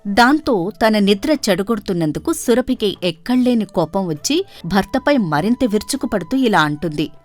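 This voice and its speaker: A woman's voice: medium pitch, lively intonation, normal speed, soft background music. A woman narrating a scripted folk story as its storyteller.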